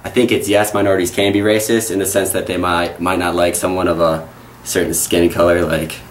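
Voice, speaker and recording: Deep-voiced college student, thinks aloud with fillers, recorded from afar. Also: male voice, flat delivery, slight background noise. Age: young adult. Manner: halting, many restarts. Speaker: student panelist. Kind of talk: casual discussion. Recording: distant mic.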